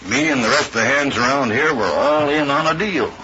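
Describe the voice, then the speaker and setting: An actor playing a plain-spoken Western ranch hand in an old radio drama, with deliberate, folksy cowboy phrasing. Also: male voice, medium pitch, close to an everyday voice. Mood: confident, accusing. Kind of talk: 1930s-40s radio western acting. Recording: noisy dull old radio broadcast recording.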